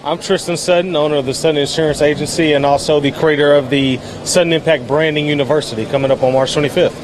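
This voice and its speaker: A man's voice: a little high, steady tone, fast. A man in his thirties answering interview questions outdoors in a polished, promotional way. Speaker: insurance agency owner. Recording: noisy outdoor street.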